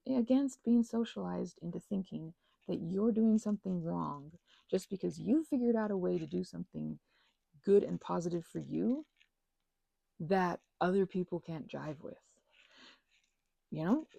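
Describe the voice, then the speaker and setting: Woman, thirties, ASMR rambler. Mellow, breathy delivery, playful and emphatic, recorded very dry. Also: mid-range voice, no echo. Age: 30s. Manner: emphatic, playful slangy rambling with quoted asides. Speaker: ASMR channel host. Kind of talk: casual rambling ASMR monologue.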